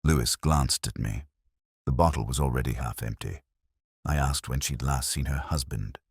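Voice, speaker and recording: A man voicing a wry, literary middle-aged male detective, narrating a mystery in a measured, reflective first person. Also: a bass voice, slightly rough, no room sound, clean studio sound, full character voice. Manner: measured, reflective, quietly observant.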